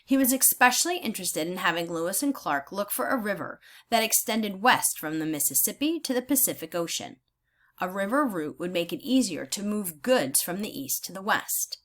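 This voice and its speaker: A woman teacher reading aloud in a deliberate, instructional way, pausing to explain her thinking as she goes. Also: mid-range voice, fairly bright tone. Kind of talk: read-aloud lesson with think-aloud comments.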